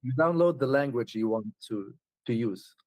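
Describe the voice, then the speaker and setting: A man's voice: medium pitch, animated, normal speed, darkish tone, no room sound. A man chatting casually as an interview guest, often laughing and restarting his sentences. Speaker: traveler guest.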